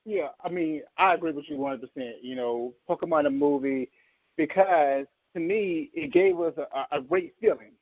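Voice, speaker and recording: A man co-hosting a podcast in casual discussion, speaking informally and a little haltingly. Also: on the high side. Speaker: podcast co-host. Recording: dull, muffled lo-fi audio.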